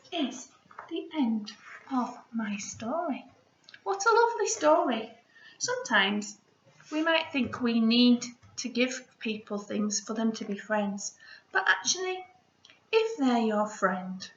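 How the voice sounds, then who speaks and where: A woman teacher speaks to young children in a lilting, lively storytelling voice. Her delivery is unhurried and encouraging. The home recording is echoey and slightly dull. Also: on the high side. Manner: encouraging, expressive, asks children questions. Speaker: primary school teacher reading a story.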